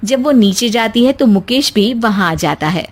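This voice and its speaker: A woman's voice: fairly high pitch, animated, normal speed. A woman storyteller reading a scripted moral tale as narration.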